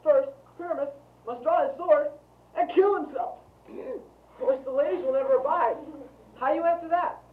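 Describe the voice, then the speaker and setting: Comic stage role: actress as bossy, projecting amateur player, high voice, distant in an echoey room. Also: female voice, moderate pace, long pauses, darkish tone, natural voice. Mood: fussy, challenging. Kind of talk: loud comic stage acting.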